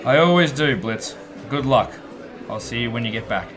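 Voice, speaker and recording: A male actor voices a friendly but smug gambler in a space audio drama. His mid-pitched voice is confident and teasing, with music clearly playing behind it. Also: animated, moderate pace, natural voice. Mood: curious, friendly.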